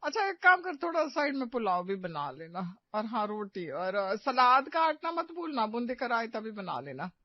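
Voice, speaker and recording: A woman's voice: on the high side, big pitch swings, normal speed, few pauses, no echo, lo-fi sound. A woman playing a bossy, theatrical middle-aged mother-in-law in a cartoon story, nagging with sarcasm and melodrama. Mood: demanding. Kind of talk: animated moral-story cartoon acting.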